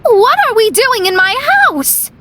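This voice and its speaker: A woman voicing a proud, easily flustered young astrologer in a video game, defensive and halting. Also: extremely high pitch, expressive, sharp tone, slight background noise, exaggerated voice. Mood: startled.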